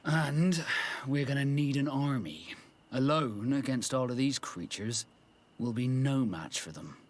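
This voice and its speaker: An actor playing a wary, plain-spoken adventuring companion in a video game, with a husky voice. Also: male voice, mid-range voice, expressive, unhurried. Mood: wary, pragmatic. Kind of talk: video game cutscene acting.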